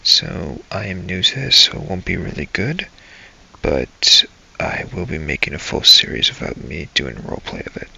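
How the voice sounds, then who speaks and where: A man hosting a gaming series, narrating a scripted first-person story in a brooding, halting, dramatic way. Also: low voice, very animated, gravelly, bright, forceful tone, breathy edge, muffled recording. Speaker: host of a role-play gaming series.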